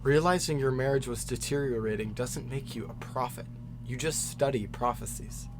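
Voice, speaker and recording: A man's voice: medium pitch, moderate pace, background noise, natural voice. A man playing a dry-witted, guarded scholar of prophecies in an audio drama, speaking in short, natural replies. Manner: terse, dry, clipped replies.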